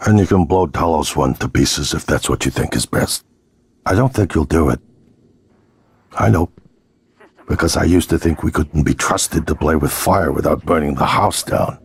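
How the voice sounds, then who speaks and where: A man playing a persuasive, scheming sci-fi executive in a video game, speaking in a measured, earnest way. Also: full character voice. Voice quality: low, husky. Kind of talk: dramatic video game acting.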